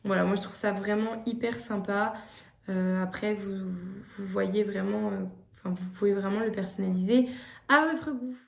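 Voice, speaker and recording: A woman's voice: mid-range voice, fairly even intonation, moderate pace, gravelly. A young woman vlogging gift ideas in casual chat to the camera, hesitant, with many fillers and restarts. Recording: echoey room.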